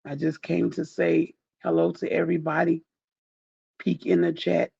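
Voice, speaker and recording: A man with a dark, husky, deeply gravelly voice that he calls groggy, heavy with grief. He talks slowly and softly to his viewers, rambling and heartfelt. The recording sounds dull and dry, with a lo-fi edge. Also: monotone, no echo. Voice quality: groggy, deep. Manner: soft-spoken, rambling, heartfelt. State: grieving. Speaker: YouTuber thanking viewers. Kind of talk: casual direct-to-camera chat.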